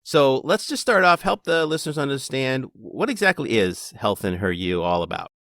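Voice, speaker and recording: A man's voice: medium pitch, very animated, moderate pace, fairly bright tone, dry recording, clean studio sound. A man hosting a podcast interview, asking questions in an upbeat, enthusiastic way. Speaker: podcast host.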